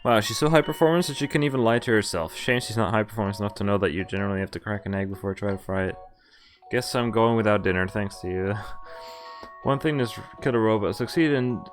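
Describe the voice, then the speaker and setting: A man's voice: on the low side, quick pace. A young man streaming a visual novel, reading the text aloud and adding casual commentary. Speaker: game streamer. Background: game music.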